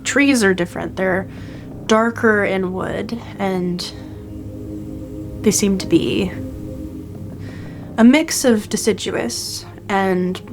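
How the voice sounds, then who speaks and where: An unhurried woman acts as game master in a tabletop role-playing game. She describes scenes off the cuff, haltingly, at a medium pitch. Music plays faintly behind her voice. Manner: halting, thinking aloud. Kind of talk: improvised tabletop game narration.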